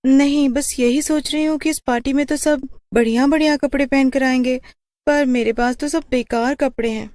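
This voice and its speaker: A proud but downcast young college girl played by a woman talks in a high voice. It is an audio story drama, recorded dry and close. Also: steady tone, normal speed, darkish tone, dry recording, lightly acted.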